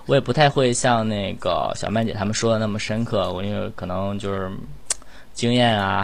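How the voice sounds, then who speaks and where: A man's voice: on the low side, creaky. A man hosting a casual online group chat, talking in a rambling, self-effacing way. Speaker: host and amateur voice actor.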